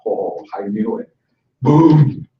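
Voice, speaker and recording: A man's voice: fairly low pitch, big pitch swings, slow pace. Man presenting in a roomy hall. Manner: conversational, joking with the audience. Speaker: conference presenter. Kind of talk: conference talk. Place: conference room. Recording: roomy hall sound from a distant mic, dull and slightly noisy.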